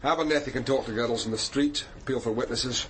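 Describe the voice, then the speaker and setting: Actor as male senior detective, medium pitch, brisk, slightly hesitant, courteous, radio crime drama. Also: lightly acted. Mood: businesslike. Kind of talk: naturalistic radio drama acting.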